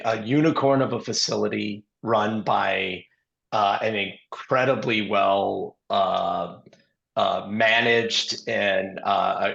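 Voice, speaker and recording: A man hosting an interview with a hoarse, scratchy voice, rambling and filling pauses with ums and uhs. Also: on the low side, a bit bright. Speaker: podcast host and investor. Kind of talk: casual interview talk.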